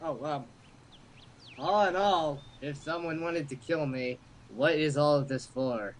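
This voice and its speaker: A man's voice: a little high, unhurried. A young man reading a game hero's thoughts aloud casually as an amateur, with a goofy, irreverent manner and joking asides. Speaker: amateur reader of the hero's thoughts in a let's play. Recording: amateur home recording with clear background noise.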